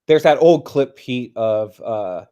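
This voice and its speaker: A man's voice: mid-range voice, expressive, slow pace, dark tone, clean tone, muffled recording. A man co-hosting casual sports commentary, explaining tactics step by step in an analytical way. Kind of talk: casual analytical play breakdown.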